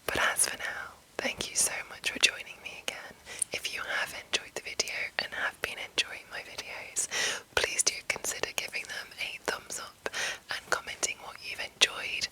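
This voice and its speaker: A woman host speaking in a breathy ASMR whisper, with careful, deliberate phrasing. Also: pushed and bright, rough recording. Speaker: ASMR host reading a monster manual.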